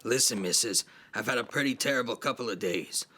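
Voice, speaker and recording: A man acting as a gruff, brazen, weary out-of-town traveler in an amateur audio drama. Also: mid-range voice, brisk, bright, forceful tone. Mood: irritated.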